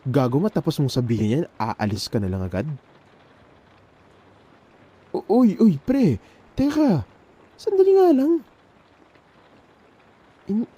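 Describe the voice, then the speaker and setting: A young man with a Filipino accent performing an intimate ASMR roleplay as an emotional best friend, speaking colloquially and haltingly, with pauses. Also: medium pitch, dark tone, husky.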